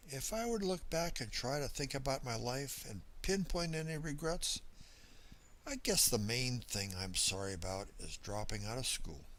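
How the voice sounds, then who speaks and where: Man playing a folksy, rambling drifter, animated delivery. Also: on the low side. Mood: reflective, earnest. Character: small, cheerful, rambling middle-aged drifter who drinks. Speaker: story reader. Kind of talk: dramatic first-person monologue reading.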